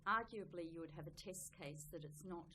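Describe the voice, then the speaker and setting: A woman's voice: lowish voice, fairly quick, pushed and bright. A woman speaking on a public debate panel, arguing in a conversational, rephrasing way into an event microphone. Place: public meeting room. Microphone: event microphone, sometimes off-mic. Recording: noisy live room, uneven microphone level.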